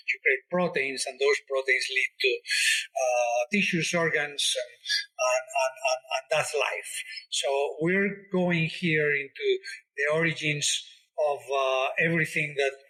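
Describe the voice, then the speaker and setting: Bright-voiced Spanish-accented man, halting. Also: medium pitch, low-quality recording. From Spain. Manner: repeats words and hesitates with many fillers. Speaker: biotech executive guest. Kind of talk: conversational interview answers.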